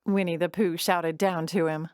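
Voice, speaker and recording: A woman narrating a children's storybook drama in an expressive, deliberate storytelling manner. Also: on the low side, clear, resonant tone, dry recording, clean studio sound.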